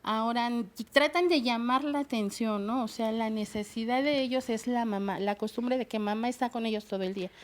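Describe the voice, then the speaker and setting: A middle-aged woman telling her own story as a talk show guest, hesitant and halting. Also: a little high. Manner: hesitant, many fillers and restarts. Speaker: guest, bilingual secretary. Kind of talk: personal testimony on a talk show.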